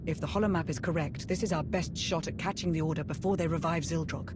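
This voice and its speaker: An actress playing a resolute, skeptical military advisor, briefing crisply and formally in a video game cutscene. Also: female voice, deep voice, fast, background noise, lightly acted. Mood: businesslike, determined.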